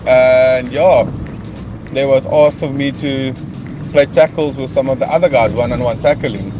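A man's voice: medium pitch, fairly even intonation, a little slow. A man with a South African accent calling a radio show and telling a jokey story. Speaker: caller. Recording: phone call into radio with music.

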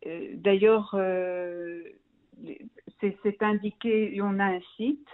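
A woman's voice: mid-range voice, fairly even intonation, takes it slowly. A middle-aged woman from Belgium being interviewed as a guest, searching for words and restarting her phrases. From Brussels, Belgium. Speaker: magazine founder, interview guest. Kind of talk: radio interview by phone. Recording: thin, narrow phone line.

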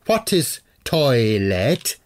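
Murdin, a man doing a booming archaic warlock. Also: very animated, very slow. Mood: horrified. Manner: old-fashioned thee-and-thou speech. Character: bellowing archaic old warlock man, cheerful, bewildered.

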